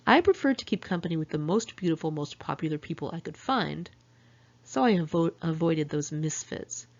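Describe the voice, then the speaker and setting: A woman's voice: low-pitched, animated, moderate pace, lo-fi sound. A middle-aged woman narrates her own memoir in the first person, candid and wry with sardonic asides. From California, United States. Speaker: author reading own memoir. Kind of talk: first-person audiobook narration, diary storytelling.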